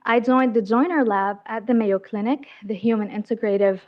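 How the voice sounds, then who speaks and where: A young woman from Lebanon presents at a seminar in a friendly, enthusiastic, personal way. Her voice sits a little high. The lecture recording sounds slightly dull and lo-fi. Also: moderate pace. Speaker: graduate student presenter.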